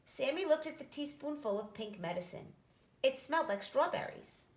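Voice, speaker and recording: Female children's story reader: expressive and animated, slightly high pitch, echoey room. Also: normal speed. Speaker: story reader, nonprofit executive director.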